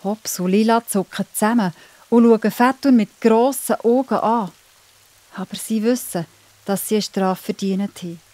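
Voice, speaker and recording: A woman's voice: medium pitch, normal speed, dark tone, no room sound. A woman with a Swiss accent narrating a children's story.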